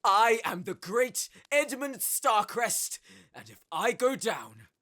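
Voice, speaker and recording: A man's voice: very high-pitched, animated, takes it slowly, bright, forceful tone, full character voice. Man playing a menacing, wildly swinging sorcerer villain. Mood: vengeful fury. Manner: menacing, pompous, sneering. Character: arrogant villainous nobleman sorcerer, cruel and vengeful. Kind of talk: dramatic audio roleplay acting.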